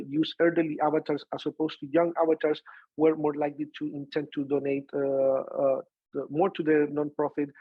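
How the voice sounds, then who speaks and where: A man with a Chilean accent giving an academic seminar talk over a video call, often pausing on "uh" and restarting phrases. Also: a little high, slightly rough, no echo. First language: Spanish. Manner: frequent "uh" fillers and restarts. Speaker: professor presenting research. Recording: dull lo-fi video call audio.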